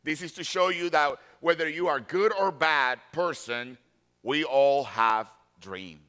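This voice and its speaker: Slow, bright-voiced pastor. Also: male voice, a little high, clean tone. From Colombia. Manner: deliberate, emphatic repetition of key phrases. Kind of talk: sermon.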